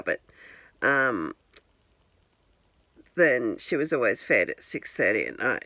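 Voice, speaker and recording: A woman's voice: extremely low pitch, fairly bright tone, no echo. A woman in her forties rambling candidly in a casual vlog, filming herself on a phone at home. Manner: rambling, candid, self-deprecating with chuckles. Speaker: vlogger. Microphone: handheld phone camera.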